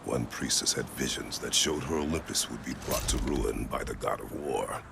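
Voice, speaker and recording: A grim, battle-worn warrior god for a video game. His voice is extremely low and thick with gravel. He speaks in short, solemn, measured phrases over clear background ambience. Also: noisy background. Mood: grave, reflective. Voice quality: very deep gravelly voice. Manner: terse, solemn, measured formal speech. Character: stoic, grim middle-aged Greek warrior, former god of war. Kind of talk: dramatic video game acting.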